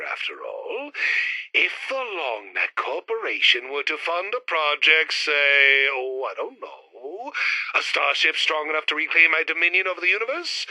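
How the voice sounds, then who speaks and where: Casting: pompous alien villain scientist, gravelly and sharp, wildly swooping delivery, retro radio serial. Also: male voice, a little high, heavily acted, poor audio. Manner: theatrical, grandiose, indignant.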